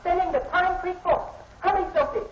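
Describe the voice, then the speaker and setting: An actress playing a brisk, urgent small-town telephone operator in an old radio western, on an echoey, noisy recording. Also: female voice, very high voice, dull audio.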